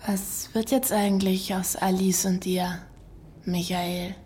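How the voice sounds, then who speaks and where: A woman playing a wistful, searching lover in an intimate radio drama, speaking in a hushed, breathy half-whisper. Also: medium pitch, flat delivery, slow pace, a little hiss or hum, natural voice.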